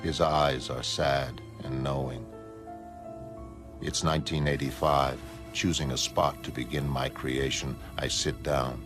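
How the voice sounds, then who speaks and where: A man playing a detached, melancholy godlike superhuman who narrates a motion comic in a measured, solemn, flat manner. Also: very deep voice. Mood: calm, melancholy. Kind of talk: first-person dramatic narration of a motion comic. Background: music.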